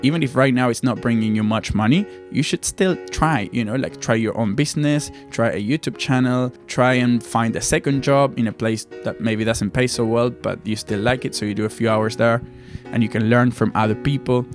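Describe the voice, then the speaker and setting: A man's voice: mid-range voice, normal speed, faint music behind. A young man from Argentina, a native Spanish speaker, giving casual advice to viewers as a video host.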